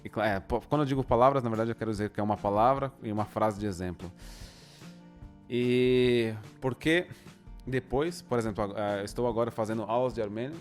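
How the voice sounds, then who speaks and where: A Brazilian man as an interview guest, speaking slowly and thoughtfully with many pauses. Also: mid-range voice, faint music behind. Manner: thoughtful, deliberately slow, with pauses. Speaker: guest, polyglot. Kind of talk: casual interview.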